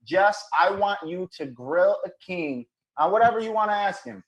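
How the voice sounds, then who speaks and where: A man's voice: high-pitched, lively intonation, normal speed, fairly bright tone, poor audio. A man hosting a live online dating show over video call, with hyped, bro-ish banter.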